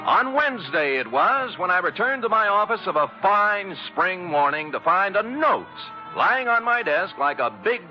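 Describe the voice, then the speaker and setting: An actor playing a brash, wisecracking private detective in a flippant, slangy 1940s radio style. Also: male voice, high voice, lively intonation, moderate pace, hardly any pauses, faint music behind. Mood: wry. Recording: dull vintage radio recording.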